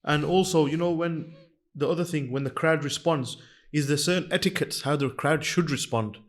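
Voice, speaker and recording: A man's voice: a little high, moderate pace. A man hosting a podcast, asking curious questions and finishing his guest's sentences. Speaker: podcast host. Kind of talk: interview questions.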